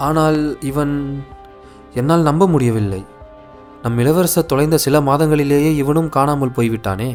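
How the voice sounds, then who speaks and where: A man playing a troubled, questioning king in an animated folktale, speaking formally and declaiming his words. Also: a little high, normal speed, pauses often, faint music behind, lightly acted. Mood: bewildered. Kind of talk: animated moral story dialogue.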